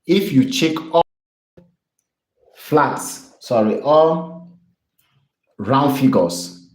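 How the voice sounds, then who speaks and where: Young man from Cameroon, trading streamer, upbeat and repetitive, mid-pitched voice, roomy echo. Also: animated, very slow. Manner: upbeat, repetitive, chatty with viewers. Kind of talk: live trading stream, chart lesson.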